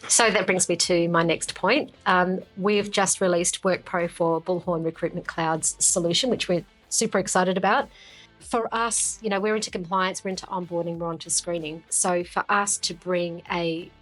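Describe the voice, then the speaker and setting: A woman's voice: lowish voice, steady tone, normal speed, a bit bright, rough recording. A woman doing a conversational business interview as a company founder, talking up a product. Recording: music behind the talk. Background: background music.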